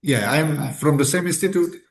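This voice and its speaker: A man's voice: fairly high pitch, normal speed, creaky. A man introducing himself on a video call, hesitant and full of fillers. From Czech Republic. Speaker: library open science staff. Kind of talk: online meeting self-introduction.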